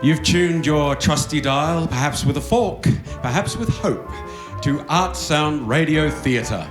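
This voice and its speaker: Guy announcing an old time radio drama, wry and mock-grand, slightly high voice, echoey theatre. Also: slow, over music. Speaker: announcer and narrator of a radio play. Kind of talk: scripted old-time radio narration before an audience. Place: theatre stage with audience. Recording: roomy live theatre stage.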